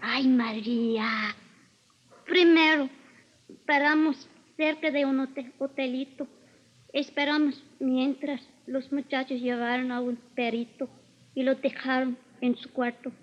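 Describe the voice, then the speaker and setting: A woman's voice: sits high, fairly even intonation, normal speed. An actress playing a young Spanish-speaking girl questioned as a witness in a 1950s radio drama. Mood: hesitant recall. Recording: dull vintage 1950s radio recording.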